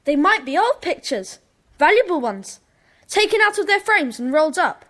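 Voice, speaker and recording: A woman's voice: very high-pitched, very animated, moderate pace, fairly bright tone, full character voice. A woman playing an earnest schoolboy detective in a children's audio drama.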